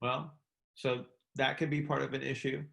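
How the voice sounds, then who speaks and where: A man's voice: mid-range voice, little pitch movement, moderate pace, creaky, a bit bright, roomy sound. A man giving conversational expert advice as a coach on a group call, explaining things and checking in with rhetorical questions. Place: indoor room, on a conference call.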